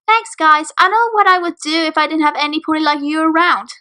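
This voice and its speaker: A woman plays a young pony filly from a storybook, proud and quick to flare up. The voice is high and bright, with emotional emphasis. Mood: grateful.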